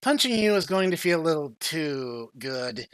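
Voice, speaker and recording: A brash giantess princess from a game story, acted out by a man. The voice is high and bright, swinging up and down with impatience and swagger, and sounds very close and dry. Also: sing-song, moderate pace, no room sound. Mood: threatening. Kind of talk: hobby dramatic reading of a game story.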